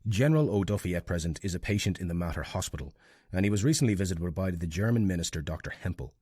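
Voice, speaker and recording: A man's voice: low voice, animated, fast talker, dark tone, breathy edge, no echo, clean studio sound. A man formally reading out archival intelligence reports. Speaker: reader of archival documents.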